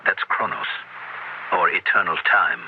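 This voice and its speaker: An actor in a 1970s radio drama playing a polite, measured university professor with a secretly sinister side. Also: male voice, low-pitched. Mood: courteous, professorial. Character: smooth, courteous, secretly sinister male university professor of witchcraft. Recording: noisy, muffled 1970s radio broadcast.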